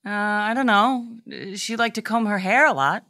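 A woman's voice: mid-range voice, normal speed, bright, forceful tone, natural voice. A woman playing a patient but easily flustered mom telling stories in a comedic audio drama. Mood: storytelling. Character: patient, slightly exasperated mom telling a bedtime story.

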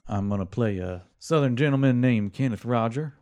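A man's voice: medium pitch, moderate pace, darkish tone. A man casually presenting his roleplaying character as a performer on a tabletop audio show.

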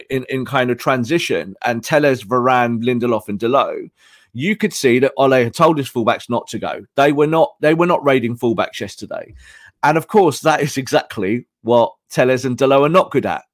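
A hoarse man analyzing football tactics on a podcast, emphatic and repeating his points. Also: mid-range voice, animated, normal speed, dry recording, studio quality.

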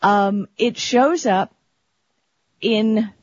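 Husky tech talk show host. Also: medium pitch, extremely slow, no echo. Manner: chatty, explanatory, colloquial. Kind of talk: conversational tech explainer. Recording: dull, lo-fi audio.

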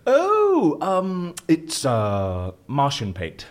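An actor playing a flustered, evasive and mischievous boss in a comedy audio drama, stammering and wheedling excitably. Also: male voice, a little high, extremely slow, exaggerated voice. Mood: sheepish, defensive. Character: flustered, evasive, mischievous male boss hiding his blunders.

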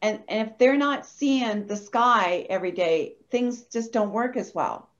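A woman talking as a podcast guest, telling stories in a chatty, animated way. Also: a little high, a little slow. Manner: chatty, animated anecdote teller. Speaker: guest, energy healer. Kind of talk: casual podcast interview storytelling. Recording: dull lo-fi audio.

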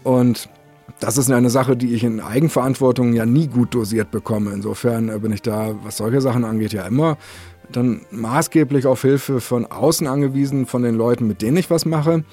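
A man with a somewhat husky voice chats casually as a podcast host. He rambles in a self-deprecating way and jumps between thoughts, with faint music underneath. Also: mid-range voice, fairly even intonation, normal speed, warm tone.

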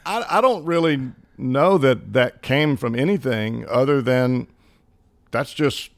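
A man's voice: medium pitch, slow. Folksy Southern man with a country accent. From Southern United States. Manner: folksy, chuckling, homespun sayings. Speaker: guest, former ballplayer. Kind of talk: casual interview storytelling.

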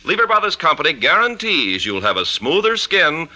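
An American man works as a 1950s radio announcer. His voice is very bright and forceful, rising and falling in animated, polished sales patter. Every phrase is scripted and emphatic. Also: a little high, normal speed.

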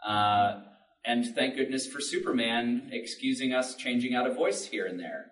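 A man's voice: medium pitch, animated, normal speed, a bit bright. A man speaking informally and explaining things on a panel at a public event. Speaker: podcast writer and panelist. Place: public library panel room. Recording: roomy, distant microphone.

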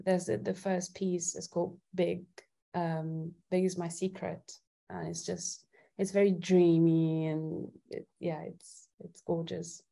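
A woman from Italy with a slightly low-pitched voice, talking as a guest in a casual interview. She is chatty and giggly, with somewhat hesitant phrasing. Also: flat delivery, slow pace, vocal fry, mellow. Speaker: interview guest, singer-songwriter.

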